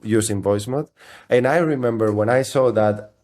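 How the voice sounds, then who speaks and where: A middle-aged man who speaks English as a second language, telling his story as an interview guest in a halting, conversational way with repeated phrases. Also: lowish voice, darkish tone. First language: not English. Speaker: interview guest, software company CEO.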